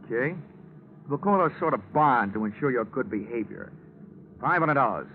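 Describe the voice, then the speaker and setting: Hard-boiled American radio detective, played by an actor. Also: male voice, mid-range voice, lively intonation, moderate pace, slight background noise, natural voice. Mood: sardonic, stern. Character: tough, wisecracking male insurance investigator and narrator. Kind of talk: 1940s radio detective drama acting. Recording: dull 1949 radio recording, music behind.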